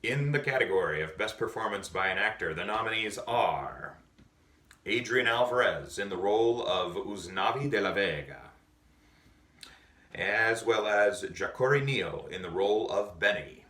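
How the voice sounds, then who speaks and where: Low-voiced man giving earnest advice. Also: lively intonation, pushed and bright. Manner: earnest, measured advice with many pauses. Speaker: awards presenter. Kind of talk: prepared speech to drama students. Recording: echoey, distant mic.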